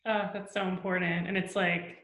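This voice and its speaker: A woman's voice: lowish voice, flat delivery, normal speed, a bit bright. A woman hosting an interview, affirming and conversational, asking long questions. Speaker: podcast host. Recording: echoey room.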